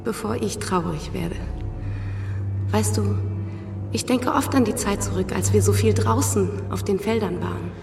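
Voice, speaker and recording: Radio drama: a gentle, restrained nun and older sister, some vocal fry, long reverb, faint music. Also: female voice, mid-range voice, unhurried. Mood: resigned, wistful. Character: pious, resigned young nun, caring older sister. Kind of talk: naturalistic radio play acting. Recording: echoey stone-hall reverb, music underneath.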